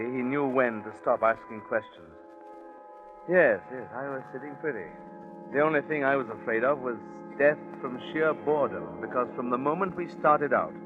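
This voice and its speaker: Actor as British gambler, tinny old radio. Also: male voice, mid-range voice, natural voice. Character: desperate English racetrack gambler, smooth-talking, resigned confessor. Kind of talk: 1940s radio acting, first-person crime narration. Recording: tinny, band-limited 1940s radio recording.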